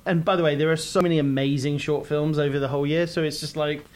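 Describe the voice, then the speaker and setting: An English man with a fairly high voice speaks as a director in a relaxed interview. He talks earnestly and haltingly, circling back and restarting his sentences as he gathers his thoughts. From London, England. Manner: earnest, hesitant, with frequent restarts. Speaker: film director guest. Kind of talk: casual interview chat.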